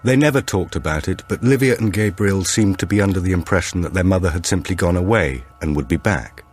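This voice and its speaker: A man reading a thriller audiobook as a dramatic narrator, with measured, expressive storytelling. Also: low-pitched, breathy edge.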